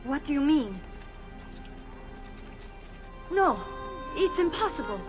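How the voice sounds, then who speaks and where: A young noblewoman, pleads in a high voice that leaps widely. She speaks slowly and anxiously. Orchestral game music runs underneath. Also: big pitch swings. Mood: distraught.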